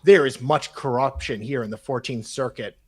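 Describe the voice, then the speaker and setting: A man's voice: medium pitch, moderate pace, a crowd in the background. A man hosting a live show, reading emails aloud in a dramatic style and breaking off for casual, mocking asides.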